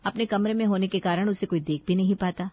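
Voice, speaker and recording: A woman's voice: medium pitch, steady tone, quick pace, dry recording, dull audio. A woman narrator reading a scripted family story.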